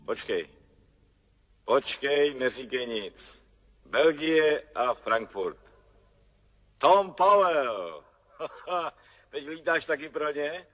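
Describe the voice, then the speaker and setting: An actor in a 1970s radio play voicing a drowsy, tipsy, chummy veteran pilot with a husky drawl. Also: male voice, a little high, animated, long pauses, a bit bright. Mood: drowsy, groping. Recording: reverberant hall, radio drama.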